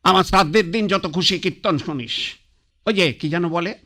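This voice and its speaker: A man's voice: sits high, moderate pace, bright, forceful tone. An actor playing a blunt, stubborn old man on his deathbed who mocks his doctor and priest in a sarcastic, commanding way. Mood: irritable. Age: older. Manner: sarcastic, commanding, brushes others off. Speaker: bedridden patriarch. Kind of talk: radio drama acting.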